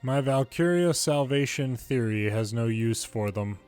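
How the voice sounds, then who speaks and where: A man's voice: medium pitch, expressive, measured pace, a little mellow, smooth. Man reading game dialogue over faint music. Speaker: longplay narrator reading game subtitles aloud.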